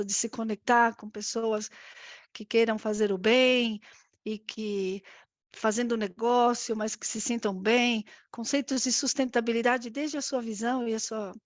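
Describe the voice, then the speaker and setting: A woman in her fifties with an Argentine accent and Spanish as her native language, giving a conversational, reflective interview answer as a guest, often pausing to search for words. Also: fairly high pitch, no room sound. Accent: Argentine accent in Brazilian Portuguese. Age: 50s. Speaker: interview guest, risk management executive.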